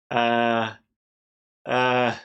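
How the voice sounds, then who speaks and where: A man's voice: medium pitch, lo-fi sound, a little hiss or hum. Chatty self-mocking man in his thirties. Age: 30s. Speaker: livestreaming artist. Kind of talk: casual commentary while drawing on stream.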